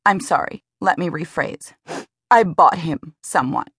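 A woman's voice: sits low, a little slow, a bit bright, breathy edge, dry recording, clean studio sound, pitched above the performer's own voice, heavily acted. A woman voicing an anxious young woman who frets over intruders and fusses over her upset friend. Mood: anxious concern. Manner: nervous, solicitous.

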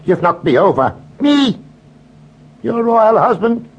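A man's voice: high voice, very animated, slow pace, slightly rough, highs cut off, full character voice. An actor playing an indignant, pompous chess-piece king in an audiobook drama.

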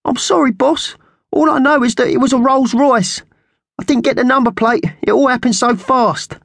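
Very high squawky scrawny magpie. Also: male voice, moderate pace, vocal fry, a bit bright, dry recording, studio quality, full character voice. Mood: nervous, apologetic. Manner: squawky, mock-solemn gang underling. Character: thin scrawny male magpie henchman, nasty yet sentimental. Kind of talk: children's storybook character voice.

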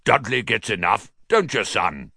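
A man's voice: on the low side, sing-song, unhurried, gravelly, pushed and bright, breathy edge, dry recording, studio quality, heavily acted. A man voicing a pompous, bullying middle-aged uncle who roars and snarls in a storybook reading. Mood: hearty. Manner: roaring, snarling outbursts.

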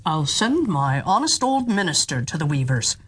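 A woman voicing a vain, self-assured male emperor in a storybook tale, speaking in a measured, pompous way. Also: low voice, sing-song, husky, pitched below the performer's own voice, exaggerated voice. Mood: self-assured musing.

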